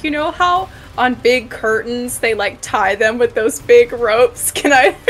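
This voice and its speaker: A woman's voice: on the high side, a bit bright. A woman playing a tabletop game, chatting casually and playfully. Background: background music.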